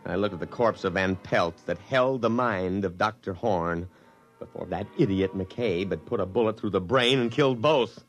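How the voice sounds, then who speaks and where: A man acting a pompous, excitable army officer in a blustering, dramatic 1950s radio drama style. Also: on the low side, normal speed, soft background music, natural voice. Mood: tense, rueful narration. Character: pompous, excitable male army officer narrating his downfall.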